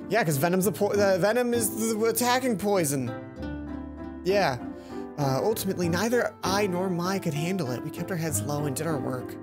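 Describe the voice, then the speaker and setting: A young man narrating a game aloud with playful, expressive commentary, as a let's play host. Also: sits high, normal speed, music in the background. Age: young adult. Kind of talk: reading visual novel aloud with commentary.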